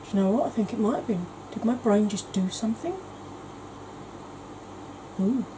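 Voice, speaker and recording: A woman's voice: medium pitch, moderate pace, background noise. A woman, chatting casually and wandering off topic in a home makeup vlog. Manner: rambling, self-mocking, soft-spoken at times. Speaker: makeup vlogger. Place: indoor home room, kitchen.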